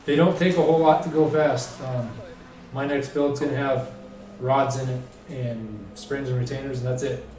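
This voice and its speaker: A man in his forties talking engines on a casual shop podcast, relaxed and pausing with "um". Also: mid-range voice. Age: 40s. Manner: relaxed, fills pauses with um. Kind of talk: casual round-table chat. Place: car shop. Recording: roomy room, distant mic, faint ambience.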